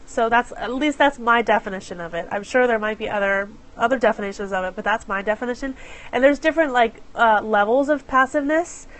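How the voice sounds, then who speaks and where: A woman's voice: a little high, brisk, fairly bright tone. A woman with a head cold hosting a casual live stream from her phone. She is chatty and apologetic and greets viewers by name. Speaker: live stream host for small business owners. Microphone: phone camera.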